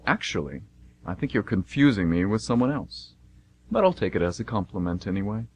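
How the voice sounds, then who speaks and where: A man's voice: on the low side, big pitch swings, a little fast, darkish tone. A man playing God as a smug, jovial, teasing deity in a comedic amateur radio play, breezy and fond of puns. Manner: breezy, self-satisfied, punning. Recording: noisy amateur radio recording with hum.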